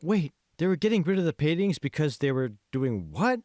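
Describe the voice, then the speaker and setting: A man's voice: fairly high pitch, sing-song, moderate pace, darkish tone, dry recording, clean studio sound, pitched above the performer's own voice. A man voicing a naive, uneasy young woman in an audiobook, hesitant and full of questions. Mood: uneasy, curious. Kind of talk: audiobook dialogue.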